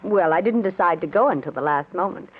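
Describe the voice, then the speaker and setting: An actress playing an anxious, tender, secretive wife with a gentle, emotive delivery in old-time radio drama style. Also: female voice, fairly low pitch, animated, a little fast. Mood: evasive, light. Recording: muffled old radio, faint hiss.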